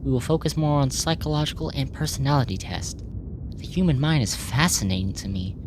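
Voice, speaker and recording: A woman acting in a sci-fi ASMR roleplay as a polite, clinical alien researcher, speaking in a measured, formal, earnest way. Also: very deep voice, background noise. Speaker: audio roleplay voice actor.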